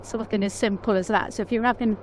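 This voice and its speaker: A woman with a naturally quiet voice, chatting casually on a vlog and laughing often. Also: medium pitch, fairly even intonation, fast talker, slight background noise. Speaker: motorcycle vlogger, pillion rider. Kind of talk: casual chat.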